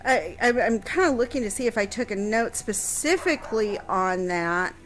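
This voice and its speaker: A woman's voice: mid-range voice, expressive, moderate pace, faint music behind. Chatty woman answering history questions. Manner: chatty, many fillers and restarts. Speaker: history question guest on radio show. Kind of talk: casual radio chat.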